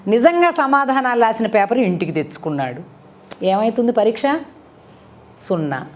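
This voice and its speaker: A woman tells a moral story as a devotional storyteller, in an expressive, conversational way with rhetorical questions. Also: on the high side. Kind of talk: animated moral storytelling to an audience.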